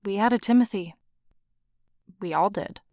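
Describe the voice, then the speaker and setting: A woman's voice: medium pitch, moderate pace, a little mellow, no echo, studio quality, natural voice. An actress playing a casual but evasive woman on a call in an audio drama, slightly hesitant in manner. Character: Inez, evasive, casual woman caller, secretly probing. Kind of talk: audio drama phone dialogue.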